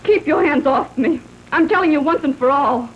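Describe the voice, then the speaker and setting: Actress as young western ranch daughter, highish voice, direct, spirited, faintly noisy vintage film sound. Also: female voice, moderate pace, close to an everyday voice. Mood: indignant. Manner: direct, firm. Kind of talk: 1930s B-western film acting.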